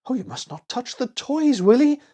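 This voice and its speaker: A sweet little storybook girl, anxious and a bit fretful, put on by a man. The pitch sits well above his normal voice, with childlike delivery. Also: very animated, moderate pace, mellow, exaggerated voice. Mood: frightened.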